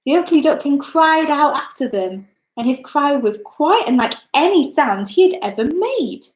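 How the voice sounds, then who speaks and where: A woman reading a children's story as a storyteller, in an expressive, deliberate manner. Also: high-pitched. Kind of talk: scripted children's story narration. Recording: echoey, roomy sound.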